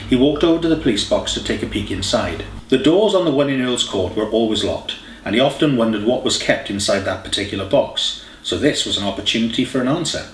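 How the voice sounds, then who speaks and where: A man reading a scripted story as an audiobook narrator. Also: mid-range voice. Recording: echoey room, distant mic, faint hiss.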